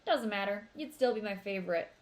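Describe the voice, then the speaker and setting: A woman's voice: medium pitch, expressive, a little fast, natural voice. A woman voicing an upbeat, boastful teenage big brother in a storybook read-aloud, with playful, emphatic delivery.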